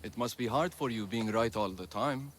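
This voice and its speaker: A man's voice: medium pitch, normal speed, fairly bright tone, slight background noise, natural voice. An actor playing an earnest, idealistic, caring young man of the ancient world in a video game cutscene. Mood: warm. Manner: sincere, idealistic.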